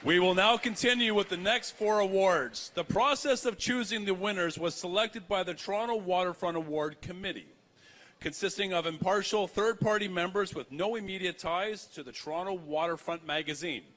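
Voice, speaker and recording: A man's voice: high voice, moderate pace, a bit bright, low-quality recording. A man co-hosting a live awards ceremony, reading announcements and addressing the guests. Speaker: awards gala co-host.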